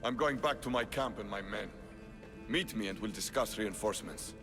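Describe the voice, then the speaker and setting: An actor playing Thaletas, a proud, blunt Spartan commander in a game cutscene. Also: male voice, fairly low pitch, moderate pace, big-room sound, music in the background, close to an everyday voice. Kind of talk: video game cutscene acting.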